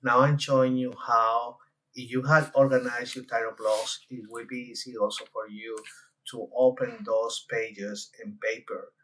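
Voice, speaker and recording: A man in his forties from Colombia, a designer presenting a conference session and walking through software with frequent hesitations. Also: medium pitch, flat delivery, a little slow, rough recording.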